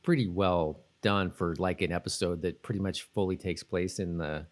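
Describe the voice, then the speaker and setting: A man's voice: low-pitched, moderate pace. A middle-aged man co-hosting a casual podcast recap of a TV show, thinking aloud in a hesitant, rambling way. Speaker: podcast co-host. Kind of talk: casual TV episode recap chat.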